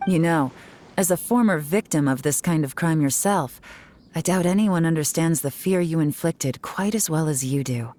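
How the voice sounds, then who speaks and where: A woman's voice: sits low, normal speed, dark tone, a little hiss or hum, natural voice. A woman voicing a stern, self-assured female investigator in a video game, commanding, composed and wry. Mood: firm, wry. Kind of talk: dramatic video game voice acting.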